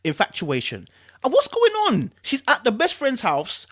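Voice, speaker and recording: A man hosting a dramatic book reading, mixing narration with animated, chatty commentary and repeating phrases for emphasis. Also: extremely high pitch, normal speed. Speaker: host reading a book aloud.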